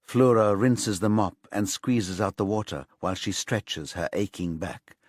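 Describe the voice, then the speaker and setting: A man with a fairly low voice narrates a crime thriller audiobook. His delivery is measured and scripted, and the recording is very dry and close, with no room sound. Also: a little mellow, no room sound.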